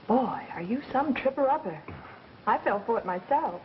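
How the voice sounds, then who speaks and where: A woman's voice: fairly high pitch, animated, moderate pace, close to an everyday voice. An actress playing a plucky, wisecracking young American woman sleuthing as a sidekick, in 1930s film style. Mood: wry, curious. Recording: muffled, noisy vintage film soundtrack.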